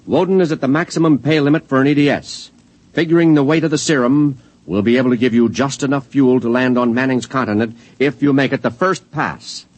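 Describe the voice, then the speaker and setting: An actor playing a calm, businesslike space commander in a 1950s radio drama. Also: male voice, mid-range voice, dry recording, lightly acted, low-quality recording. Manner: brisk, businesslike.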